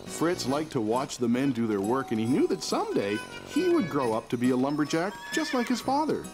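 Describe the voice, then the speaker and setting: An actor playing a gentle, patient young father in a children's cartoon, soothing and a little teasing. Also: medium pitch, huge pitch swings, a little fast, rough recording. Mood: warm storytelling. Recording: music under the voice.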